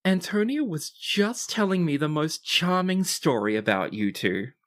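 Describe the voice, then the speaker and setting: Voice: medium pitch, animated, unhurried, pitched above the performer's own voice, lightly acted. A performer voicing a gushing, theatrically polite court aristocrat in a tabletop game.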